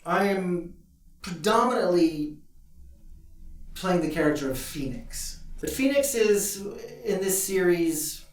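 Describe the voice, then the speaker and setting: A woman's voice: on the low side, animated, slow, far from the mic, faint music behind. A woman, a voice actor, talking casually in an interview in an echoey room, away from the mic.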